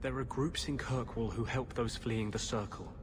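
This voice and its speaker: An actor playing an intense, principled rebel mage in a fantasy video game, grim, earnest and insistent. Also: male voice, mid-range voice, fairly even intonation, moderate pace, lightly acted. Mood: grim, bitter. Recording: clearly noisy game audio.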